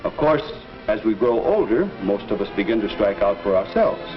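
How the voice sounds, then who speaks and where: An actor playing a patient male teacher in a 1950s educational film, speaking in a measured, instructive way on an old soundtrack. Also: lowish voice, expressive, muffled recording, over music, lightly acted. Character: patient adult male school teacher counseling students.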